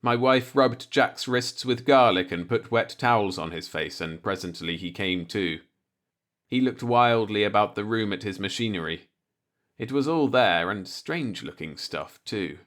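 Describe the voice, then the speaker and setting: A man reading a short story aloud as an audiobook narrator, in a measured storytelling manner. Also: fairly low pitch, animated, a bit bright. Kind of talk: scripted narration, read-along short story.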